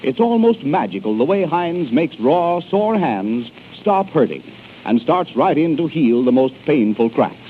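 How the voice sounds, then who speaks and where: Male 1930s radio pitchman, fairly high voice, polished declamatory sales read, thin vintage broadcast sound. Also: very animated, a little slow. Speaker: radio announcer. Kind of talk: 1930s radio advertising and introduction. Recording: narrow-band hissy 1930s radio broadcast.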